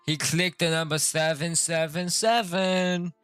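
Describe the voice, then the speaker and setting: A man's voice: fairly high pitch, steady tone, a little slow, a bit bright, smooth, no echo, soft background music. A man streaming a game with casual, laid-back, joking and slangy commentary. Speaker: live streamer.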